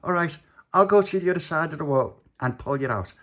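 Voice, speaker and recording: A man's voice: fairly high pitch, lively intonation, brisk, fairly bright tone, voice pushed up. A man voicing a practical, blunt young girl helping out in a storytelling. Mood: matter-of-fact. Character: brisk, matter-of-fact young girl helper.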